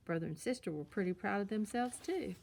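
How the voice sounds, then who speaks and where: A woman's voice: medium pitch, animated, brisk, creaky, darkish tone. A woman narrating a children's storybook as a read-aloud storyteller.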